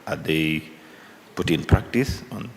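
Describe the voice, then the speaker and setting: Man, very low rough voice, halting probing questions, reverberant hall, faint background hum. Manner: halting, probing, restarts mid-thought. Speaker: committee member questioning presenters. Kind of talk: parliamentary hearing questions. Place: large hearing room. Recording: long hall reverb, faint room noise.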